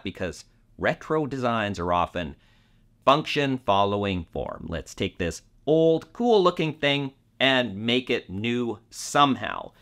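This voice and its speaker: A man presents a semi-scripted review as host, talking straight to the viewer at a medium pitch. He phrases things deliberately and leans on key words for emphasis. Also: a bit bright. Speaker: audio reviewer and video host.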